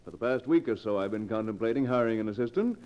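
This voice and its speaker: An actor playing a genial, trusting, well-to-do American husband in 1940s radio style, courteous and affectionate. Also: male voice, on the low side, expressive, quick pace, natural voice. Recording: dull old radio recording.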